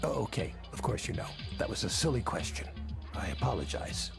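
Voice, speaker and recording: A man's voice: fairly low pitch, very animated, brisk, creaky, over music, full character voice. An actor playing a musing, patronizing man in a video game. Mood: apologetic.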